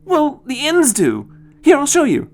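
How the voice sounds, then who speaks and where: A man's voice: very high-pitched, huge pitch swings, unhurried, a little mellow, pitched above the performer's own voice, exaggerated voice. A man voicing an eager, teasing teenage granddaughter in a storybook, with a lilting delivery. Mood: helpful, eager. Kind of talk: dramatized storybook reading.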